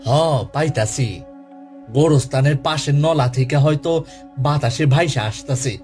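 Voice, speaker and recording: A man's voice: mid-range voice, unhurried, darkish tone, natural voice. A man voicing a bossy, brusque, seasoned gravedigger with a rural Bangladeshi accent in an audio horror story. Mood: stern, hushing. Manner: brusque, commanding, colloquial. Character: gruff, seasoned male gravedigger, bossy and dismissive. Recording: music behind the voice.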